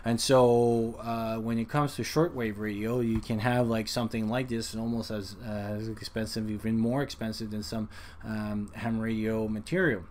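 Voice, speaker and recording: A man's voice: fairly low pitch, steady tone, darkish tone. Guy chatting casually, lots of hesitating and rambling, some noise behind him. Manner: halting, rambling, with frequent hesitations. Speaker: hobbyist video presenter. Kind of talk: casual unscripted explanation.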